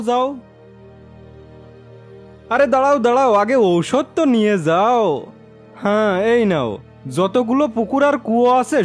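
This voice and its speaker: Cartoon villain man acting, bossy and menacing, high-pitched, drawn-out commands over clear background music. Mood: gloating, bossy. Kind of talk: cartoon fairy-tale voice acting.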